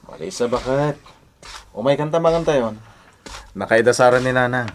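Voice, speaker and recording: A man's voice: medium pitch, moderate pace, a little hiss or hum, close to an everyday voice. A man playing a patient, devoted young husband and son in a Filipino radio drama, earnest and placating, with an Ilocano accent. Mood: reassuring.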